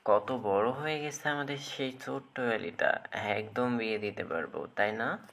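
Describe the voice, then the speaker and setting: An actor voicing an affectionate middle-aged uncle in an audio romance story. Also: male voice, medium pitch, moderate pace, vocal fry, a bit bright, voice pushed down. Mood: fond. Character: fond maternal uncle marvelling at grown-up niece.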